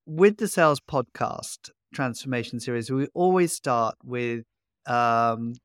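An older British man hosting a podcast, speaking in a halting, friendly way with many repeated words and chuckles. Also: mid-range voice, expressive, fairly bright tone, smooth, no echo, clean studio sound. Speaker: podcast host. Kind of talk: conversational interview.

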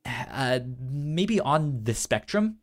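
A man with a stammer chatting casually and enthusiastically as a podcast co-host, often halting on repeated sounds. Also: mid-range voice, big pitch swings. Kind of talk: casual unscripted podcast chat about entertainment news.

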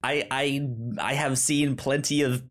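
A man's voice: medium pitch, level tone, unhurried, a bit bright. A man co-hosting a casual film podcast, stuttering on first sounds and restarting often as he searches for words. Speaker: podcast co-host.

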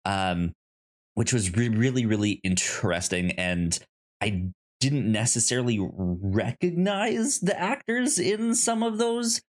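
A man co-hosting a casual film discussion, speaking haltingly and often repeating syllables and words in a stutter. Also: mid-range voice. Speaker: podcast co-host.

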